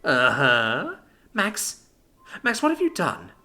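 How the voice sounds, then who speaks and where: A man voicing Libby, a fussy, reclusive young woman who speaks high and fretful. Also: wildly swooping pitch, fairly quick, pushed and bright, full character voice. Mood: horrified. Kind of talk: audiobook character voice.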